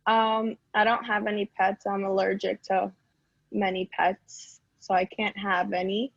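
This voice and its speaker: A woman's voice: medium pitch, level tone, unhurried, gravelly, no room sound. A young woman, a student introducing herself on a video call in a chatty, candid way. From New York City. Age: young adult. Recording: slightly muffled call audio.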